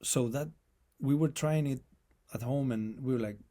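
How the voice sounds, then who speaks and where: A man with a non-native accent, speaking as an interview guest in a reflective, halting way and often restarting his phrases. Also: mid-range voice, warm tone. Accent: non-native English accent. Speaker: guest musician. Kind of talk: casual conversation.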